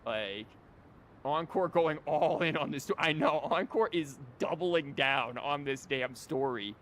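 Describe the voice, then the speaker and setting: A man's voice: a little high, lively intonation, unhurried, fairly bright tone. A man doing casual live game commentary as a streamer, rambling and wry. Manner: wry, rambling, mock-quoting. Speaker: game livestreamer.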